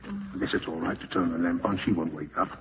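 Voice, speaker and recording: A callous, irritable schemer in an old-time radio horror play. His low voice swings dramatically in pitch as he mutters and snaps. The broadcast is tinny, with some hiss. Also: wildly swooping pitch, quick pace. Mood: sly, muttering. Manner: muttering to himself, melodramatic, snappish. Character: scheming, callous male relative plotting murder, panicking and irritable. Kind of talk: 1940s radio horror acting.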